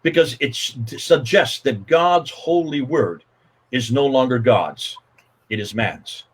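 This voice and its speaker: A man's voice: mid-range voice, slow, husky. An older man on a video call from his home study, telling a story emphatically as a guest apologist in a panel talk. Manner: emphatic, repetitive, gesturing a lot. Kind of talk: animated storytelling in a panel discussion. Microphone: video call webcam.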